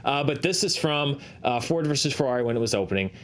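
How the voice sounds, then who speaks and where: A man's voice: mid-range voice, lively intonation, fast talker, a bit bright, slight background noise. A man hosting a talk show, giving analytical commentary in an explanatory way, often restarting and repeating points for emphasis. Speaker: host of a box office discussion show.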